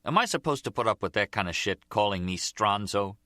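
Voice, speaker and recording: A man's voice: lowish voice, animated, brisk, sharp tone, dry recording, studio quality. A man voicing an eager, resentful young mob underling in an audiobook, whiny and insistent, with street-tough grammar. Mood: indignant.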